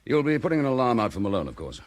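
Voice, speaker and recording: A man's voice: medium pitch, big pitch swings, very fast, natural voice. A man playing a dogged, blunt chief inspector in a vintage radio drama. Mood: insistent.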